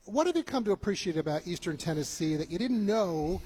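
A man's voice: high-pitched, expressive, fairly quick, mellow, a little hiss or hum. A man hosting a travel radio show in an unscripted, conversational style.

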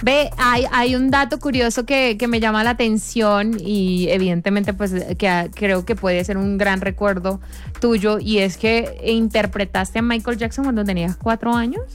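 A woman's voice: mid-range voice, fairly even intonation, moderate pace, soft background music. A woman interviewing a guest, searching for her words as she builds her questions.

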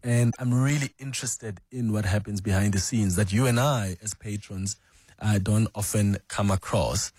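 Low-voiced male radio host. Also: moderate pace, husky, no room sound, clean studio sound. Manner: relaxed, with frequent ums and uhs. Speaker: radio talk show host. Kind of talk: radio interview. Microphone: studio microphone.

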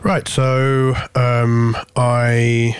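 A man's voice: mid-range voice, completely flat delivery, drawn-out words, clear, resonant tone, no echo. A man in his thirties who grew up in Greece, a musician giving thoughtful, hesitant interview answers full of restarts. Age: 30s. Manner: hesitant, many restarts and fillers. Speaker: musician guest.

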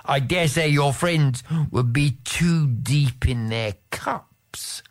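A storyteller voicing a witty princess turned into a cat, who speaks in a soft, breathy and dry way. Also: medium pitch, slow. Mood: wry.

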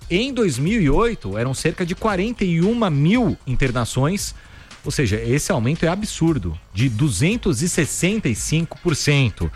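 Brisk male radio newsreader. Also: a little high, very animated. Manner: brisk newsreader delivery with casual asides. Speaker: news co-host. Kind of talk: radio news bulletin chat. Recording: faint music bed behind.

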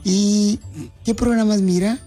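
A man's voice: high voice, fairly even intonation, takes it slowly, warm tone, faint music behind. A man hosting a radio prank call, playing a mock-serious interviewer with a teasing edge.

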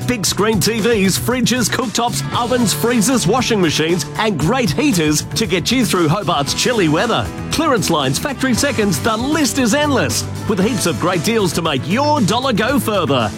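A man reads an appliance store ad in a high, animated voice that swoops up and down with enthusiasm. Music plays clearly underneath. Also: normal speed, few pauses. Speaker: ad voice-over. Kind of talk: retail radio ad.

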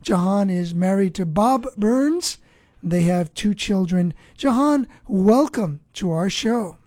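A woman with a mellow, relaxed tone, recorded close and dry. She hosts a radio program, speaking earnestly and deliberately, with a preacherly way of phrasing things. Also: mid-range voice, no echo. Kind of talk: scripted radio introduction and interview.

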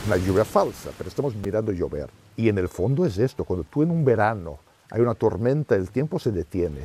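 A man's voice: lowish voice, dark tone, slight background noise. A middle-aged man, a documentary filmmaker, talking reflectively in an interview and checking in with little tag questions.

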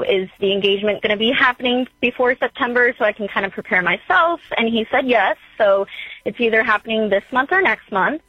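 A woman's voice: medium pitch, breathy edge, dry recording. A woman in her twenties calling in to ask for advice, talking in a hesitant, rambling way with many fillers. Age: 20s. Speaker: caller. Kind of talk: call-in advice question. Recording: dull phone line.

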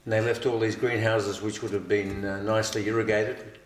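A man's voice: lowish voice, flat delivery, moderate pace. Male interviewer in an echoey room. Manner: chatty, leading questions. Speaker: interviewer, show host. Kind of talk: conversational interview questions. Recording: echoey room with faint noise.

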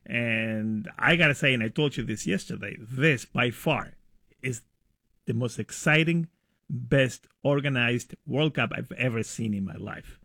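A man's voice: mid-range voice, a little slow, no echo, clean studio sound. Argentine-accented middle-aged man, enthusiastic. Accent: Argentine Spanish. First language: Spanish. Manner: enthusiastic, warm storytelling with hesitations. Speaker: radio producer, guest. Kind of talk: casual radio interview.

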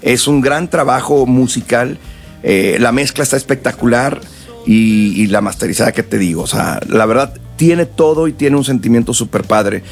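A man from Mexico and South Texas, a musician guest chatting casually, relaxed and rambling with many fillers. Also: mid-range voice, moderate pace, vocal fry, faint music behind. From northern Mexico and South Texas. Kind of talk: casual interview chat.

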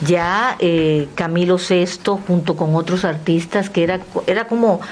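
A woman's voice: on the low side, moderate pace, a little hiss or hum. An older woman, reminiscing as a guest and acting out remembered conversations as she goes. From Dominican Republic. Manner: animated storyteller, acting out remembered dialogue. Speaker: guest, television performer. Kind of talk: spoken reminiscence at an event.

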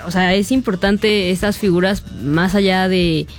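A woman's voice: mid-range voice, fairly even intonation, measured pace, soft background music. A woman co-hosting a broadcast show, chatting in a thoughtful, agreeable way. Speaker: co-host. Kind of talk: casual chat on a broadcast show.

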